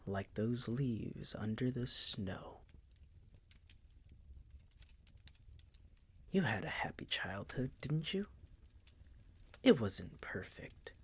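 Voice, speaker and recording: A suave vampire master, played by a man, speaks slowly in a hushed, breathy near-whisper. He is possessive and predatory, teasing with silky condescension in an intimate audio roleplay. The voice sits on the low side. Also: heavily acted. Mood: brooding, possessive.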